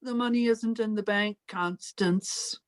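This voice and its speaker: An actress playing a prim, protective spinster sister in an old-time radio drama, formal and melodramatic. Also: female voice, mid-range voice, fairly even intonation, a little slow, close to an everyday voice. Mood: confiding.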